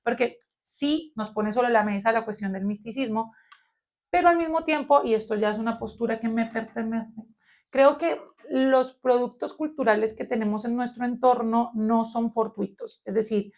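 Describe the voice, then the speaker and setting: A Colombian woman with a Colombian accent, co-hosting a philosophy discussion and thinking aloud in an animated way, with many fillers. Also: on the high side, normal speed. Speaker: podcast co-host. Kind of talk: philosophical round-table discussion.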